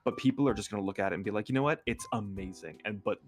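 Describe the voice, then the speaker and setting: A man's voice: on the low side, very fast, over music. A man guesting on a livestream, chatting casually in a hesitant, self-deprecating way into a close USB microphone. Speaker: guest streamer.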